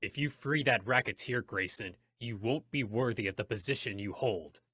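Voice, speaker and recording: Man acting a forceful, low-voiced masked vigilante. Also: normal speed, breathy edge, no room sound. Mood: stern, accusing. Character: resolute, grave male masked vigilante hero. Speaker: actor in a radio play. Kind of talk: old-time radio drama acting.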